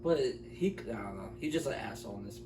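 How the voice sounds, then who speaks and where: A man talking off the cuff as co-host of a film review, blunt and incredulous, often halting mid-thought. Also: fairly low pitch, lively intonation. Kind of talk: casual, unscripted film review chat. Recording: echoey room, distant mic, faint noise.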